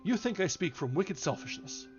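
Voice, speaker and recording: Man voicing an imperious, scornful lady, raised pitch. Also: very animated, moderate pace, lo-fi sound, music in the background. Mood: cold scorn. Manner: emphatic, haughty declamation. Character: imperious, scornful married woman, sharp-tongued and cutting.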